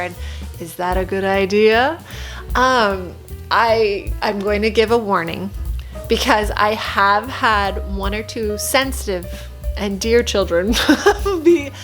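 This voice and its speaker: A woman chatting casually and expressively, recommending picture books like an enthusiastic preschool teacher. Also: medium pitch.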